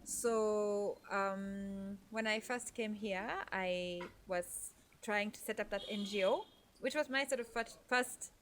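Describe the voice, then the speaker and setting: A woman from Norway talks through her story as a podcast guest, pausing on fillers and restarting her sentences as she thinks. Her voice sits at a middle pitch. A faint ambient noise runs underneath. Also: a bit bright. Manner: thoughtful, with frequent fillers and restarts. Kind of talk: conversational interview storytelling.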